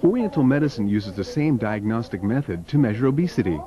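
A man's voice: mid-range voice, sing-song, extremely slow, a crowd in the background. A man narrating a scripted documentary as its narrator. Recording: dull lo-fi video soundtrack. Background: background music.

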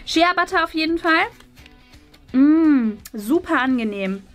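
A woman's voice: high-pitched, animated, unhurried, fairly bright tone, soft background music. A woman in her thirties hosting a vlog in casual chat, enthusiastic and gushing about things. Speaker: vlog host.

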